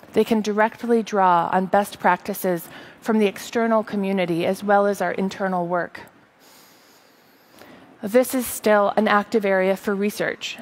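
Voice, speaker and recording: Measured woman giving a keynote. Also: mid-range voice. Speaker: tech company presenter. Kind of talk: rehearsed keynote presentation. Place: large auditorium stage.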